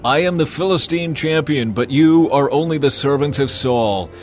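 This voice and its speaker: A man voicing a boastful giant warrior champion, calling out loud taunts with defiance. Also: fairly high pitch, moderate pace, soft background music, pitched above the performer's own voice, natural voice. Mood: defiant.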